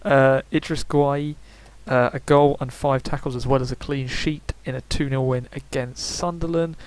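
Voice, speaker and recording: A man's voice: mid-range voice, steady tone, moderate pace. A young man giving casual, rambling video game predictions, full of fillers and self-corrections. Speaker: gaming YouTuber.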